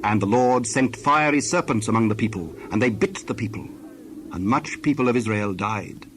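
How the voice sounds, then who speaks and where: A man narrating dramatized scripture from a script, in measured, solemn short phrases. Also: fairly low pitch, slight background noise.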